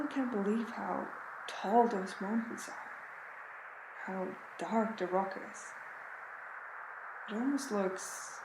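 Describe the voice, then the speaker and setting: A frightened woman explorer, played by an actress in a radio drama, unravelling with dread in the Antarctic. She speaks very slowly and haltingly, brooding over each phrase. The recording is roomy, with clear noise behind her. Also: medium pitch. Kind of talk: radio drama acting, spoken journal.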